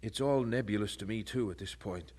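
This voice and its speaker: A man's voice: on the low side, brisk, a little mellow, breathy edge. An actor playing a devout young male student, thoughtful and intense, with formal, earnest phrasing in an audio drama. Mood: earnest, pondering. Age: young adult.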